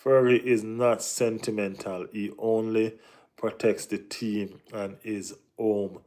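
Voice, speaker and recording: A man's voice: on the low side, fairly even intonation, slow pace, a little mellow. A Jamaican man hosting a casual football live stream, reading and answering chat, often saying "you know what I mean".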